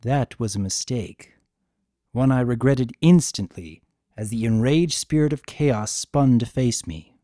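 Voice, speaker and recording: A man giving a dramatic, deliberate reading of a scripted story as its narrator. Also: mid-range voice, no echo, clean studio sound. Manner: deliberate, expressive. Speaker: audiobook narrator.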